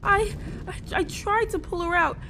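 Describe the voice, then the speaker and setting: Very high, distraught youngster. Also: female voice, unhurried, creaky, bright, forceful tone, a little hiss or hum, full character voice. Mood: panicked. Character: distraught, pleading youngster whose parent is trapped. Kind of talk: video game cutscene acting.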